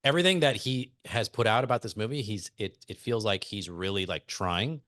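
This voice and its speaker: Low-voiced man hosting a podcast. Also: fairly quick, fairly bright tone, no echo, studio quality. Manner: easygoing, laughs often, steers the chat with questions. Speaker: podcast host. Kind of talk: casual podcast interview.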